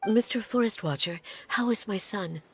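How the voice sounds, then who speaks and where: A woman's voice: mid-range voice, normal speed, husky, soft background music. A woman voicing an anxious village mother pleading for help. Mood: worried.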